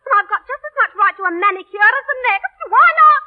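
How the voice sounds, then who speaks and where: An actress in an old radio drama playing a brash, defiant young street thief with cheeky, ungrammatical working-class talk. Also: female voice, extremely high pitch, animated, fast talker, very noisy. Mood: defiant, impassioned. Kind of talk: 1930s-40s radio drama acting. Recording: tinny, band-limited vintage radio broadcast.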